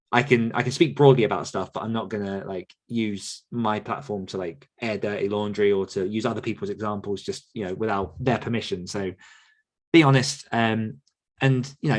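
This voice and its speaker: A man in his thirties, a podcast host, talking candidly and casually about his work, with frequent laughs. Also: on the low side. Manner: candid, chatty, laughs often. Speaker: podcast host and author coach.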